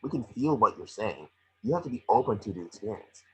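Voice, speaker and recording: A man's voice: on the low side, animated, a little fast, sharp tone, breathy edge, full character voice, low-quality recording. A man playing a small, cocky, wisecracking creature detective, snarky and bossy, in an amateur script reading.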